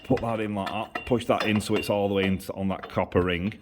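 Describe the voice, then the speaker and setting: A man's voice: lowish voice, fairly even intonation, fairly quick, background noise. A man giving a casual, hands-on workshop demonstration, explaining informally step by step and repeating himself. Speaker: motorcycle exhaust maker and mechanic. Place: motorcycle workshop. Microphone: handheld camera held by the speaker.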